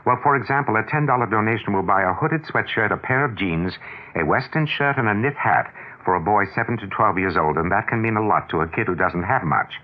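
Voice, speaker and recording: Male American radio announcer: earnest charity appeal, lively intonation, dull vintage broadcast sound. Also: on the low side, a little fast. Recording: muffled 1970s radio broadcast.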